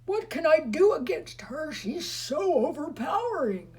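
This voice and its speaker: A woman's voice: high-pitched, very animated, a little slow, darkish tone, voice pushed up, exaggerated voice. A woman voicing an upset, defensive young boy in a storybook, exclaiming plaintively.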